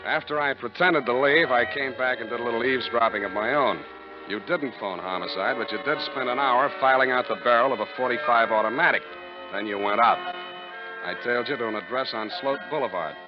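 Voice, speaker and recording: A man's voice: medium pitch, brisk, music in the background, lightly acted. An American man playing a cynical, tough private detective in a 1940s radio drama, terse and wry in delivery. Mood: matter-of-fact. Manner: terse, wry, hard-boiled. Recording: muffled, noisy 1940s radio recording.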